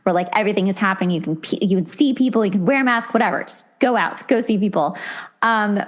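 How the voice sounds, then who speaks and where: A woman's voice: mid-range voice, fast talker. A middle-aged woman hosting a podcast, talking casually and conversationally with an effusive, enthusiastic manner. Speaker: podcast host.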